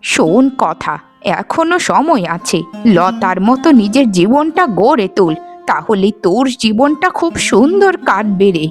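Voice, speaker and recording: A woman's voice: mid-range voice, sing-song, unhurried, soft background music. A woman voicing a weary, middle-aged village mother in a cartoon, nagging and reproachful. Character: weary, scolding poor widowed village mother of twins. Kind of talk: animated folk-tale cartoon voice acting.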